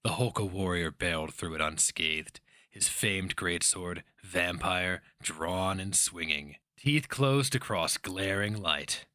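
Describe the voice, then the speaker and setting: A man's voice: low voice, takes it slowly, bright, forceful tone, dry recording, studio quality. A man giving a theatrical, intense dramatic reading of scripted fiction as narrator.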